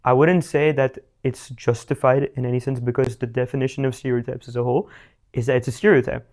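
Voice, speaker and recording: Give me a teenage man from India, rambling and flat-voiced, full of fillers. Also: mid-range voice, fast. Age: teens. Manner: rambling, many fillers and restarts. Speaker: guest, young business founder. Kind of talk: casual interview answers.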